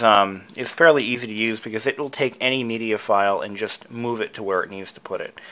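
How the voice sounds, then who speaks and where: A man's voice: fairly low pitch, sharp tone. A man presenting a hobby tutorial, casually talking through each step with enthusiasm.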